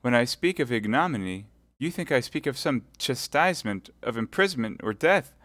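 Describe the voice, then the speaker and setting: A man's voice: mid-range voice, expressive, moderate pace, a bit bright, no echo, pitched above the performer's own voice, close to an everyday voice. A man reading aloud voices a scheming captive noblewoman who pleads and prays in a tearful, quavering tone. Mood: pleading.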